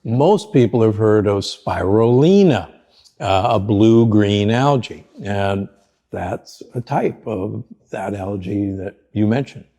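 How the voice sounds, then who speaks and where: An older man hosting a podcast, asking relaxed, friendly questions of his guest. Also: lowish voice, slow. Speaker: podcast host. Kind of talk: conversational interview hosting.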